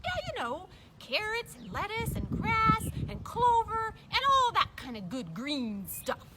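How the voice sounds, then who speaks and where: Woman as a high, bouncy rabbit. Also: huge pitch swings, a little slow, full character voice. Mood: cheerful. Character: friendly long-eared rabbit who loves plants. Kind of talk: children's puppet show. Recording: noisy live recording.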